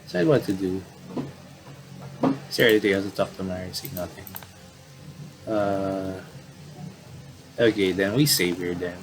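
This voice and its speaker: A man with a fairly low voice talks his way through a video game in a casual style. He rambles and restarts as he reads the on-screen text aloud, recorded with some noise behind him. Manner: rambling, self-correcting, halting. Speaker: gaming video commentator. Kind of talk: casual playthrough commentary, reading game dialogue aloud.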